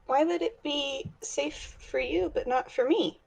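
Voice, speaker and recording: A woman's voice: high-pitched, unhurried, creaky, no echo, low-quality recording. A woman voicing a curious young female stuffed animal traveler, asking blunt questions. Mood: pressing.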